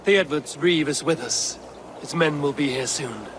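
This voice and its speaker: A man voicing a resolute Viking warrior leader in a game cutscene, speaking in a firm, measured, commanding way. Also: on the high side, background noise, lightly acted. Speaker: game protagonist. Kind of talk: video game cutscene acting. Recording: game audio under a music score.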